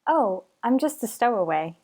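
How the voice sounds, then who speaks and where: Woman playing a naive high-voiced young stowaway. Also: sing-song, moderate pace, darkish tone. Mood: breezy, innocent. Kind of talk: retro radio drama acting.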